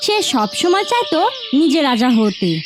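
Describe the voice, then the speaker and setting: High-pitched woman narrating a story. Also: sing-song, moderate pace, loud music behind the voice.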